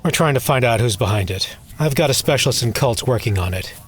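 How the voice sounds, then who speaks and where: A man's voice: medium pitch, expressive, quick pace, slight background noise, lightly acted. A man playing a businesslike, reassuring lawyer in an audio drama, with a professional manner. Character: brisk, businesslike male lawyer.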